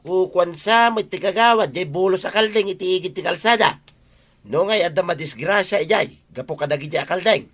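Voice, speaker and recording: A disgruntled older man of the village in a comedy radio drama, played by an actor. His voice sits a little high. He gripes stubbornly and insistently. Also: moderate pace, fairly bright tone. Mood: aggrieved.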